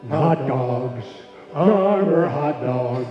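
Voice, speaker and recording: A man's voice: medium pitch, expressive, very slow, music in the background. A man performing an old-fashioned advertising jingle on stage with musical accompaniment. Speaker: jingle singer. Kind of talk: performing a vintage sponsor jingle. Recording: echoey hall, dull sound.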